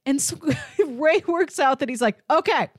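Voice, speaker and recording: A woman's voice: high-pitched, animated, normal speed, no echo, clean studio sound. A woman co-hosting a casual film discussion, retelling scenes conversationally and voicing the characters' lines. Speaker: film podcast co-host.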